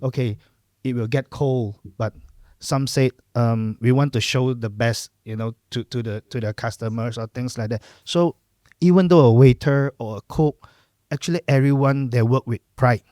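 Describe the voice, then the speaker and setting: A middle-aged Malaysian man whose first language is Cantonese, chatting in a relaxed, reflective way as a podcast guest. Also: medium pitch, moderate pace, dry recording, studio quality. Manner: relaxed, reflective, lots of fillers and "lah" particles. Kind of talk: casual interview chat.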